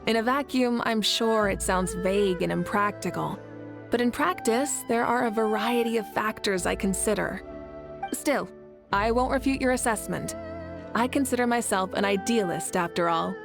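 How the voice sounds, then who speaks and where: A woman voicing a confident, composed leader in a video game, speaking in a measured, articulate and thoughtful way over music. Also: medium pitch, creaky, close to an everyday voice. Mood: composed, earnest. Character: confident, composed warrior leader, a self-described workaholic idealist. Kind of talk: video game character dialogue.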